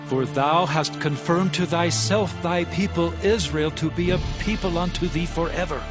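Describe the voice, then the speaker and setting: A humble, devout king, played by a man, prays in a high male voice over faint music. The delivery is reverent and impassioned, in the style of a dramatized audio Bible. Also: moderate pace, natural voice. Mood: humble, awed. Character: humble, fervent king at prayer, devout and awed. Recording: faint music bed behind voice.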